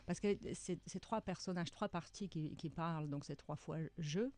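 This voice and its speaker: A middle-aged woman with a Czech accent, an author answering radio interview questions in a reflective way, often pausing to search for words. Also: on the low side, darkish tone. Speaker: author guest.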